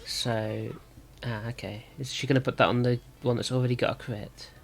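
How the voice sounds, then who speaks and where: A man with a sore throat and slightly rough voice, thinking aloud as he gives casual commentary on a card game. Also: mid-range voice, little pitch movement, normal speed. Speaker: gaming video host.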